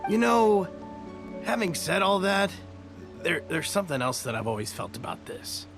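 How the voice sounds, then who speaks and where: An actor playing an eager, loyal young soldier in a video game, sincere and a little hesitant. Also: male voice, sits high, sing-song, moderate pace, a bit bright, soft background music, full character voice. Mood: heartfelt, firm. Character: eager, loyal young male soldier reporting to his general.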